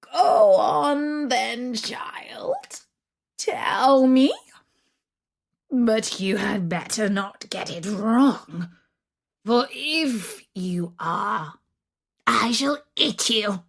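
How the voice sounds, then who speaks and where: Woman doing a mean old witch from a fairy tale, slow threatening drawl that swoops up high. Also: expressive, vocal fry, exaggerated voice. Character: menacing old female witch, cruel and fierce.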